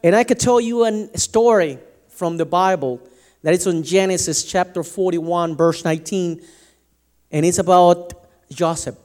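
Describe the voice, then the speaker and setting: A man's voice: high-pitched, a little slow, a little mellow. A man from Latin America preaching a sermon, emphatic and often restarting his sentences. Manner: emphatic, restarts and stumbles over words.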